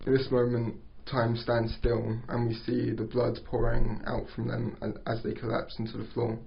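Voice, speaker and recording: A man narrating stage directions at an amateur script table read, halting and stumbling over words. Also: medium pitch, monotone, vocal fry. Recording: dull lo-fi recording.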